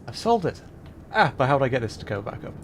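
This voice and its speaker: A man's voice: mid-range voice, sing-song, fast talker, a little hiss or hum. Chatty man streaming a game. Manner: chatty, self-deprecating, with frequent laughs. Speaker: VTuber streamer. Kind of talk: casual gameplay commentary.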